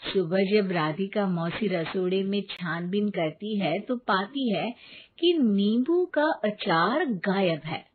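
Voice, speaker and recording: A woman tells a scripted tale as narrator. Her voice sits at a middle pitch and moves at a slow pace. The recording is thin and phone-like, with a little noise. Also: lively intonation. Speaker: storyteller. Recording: tinny phone-like sound with faint noise.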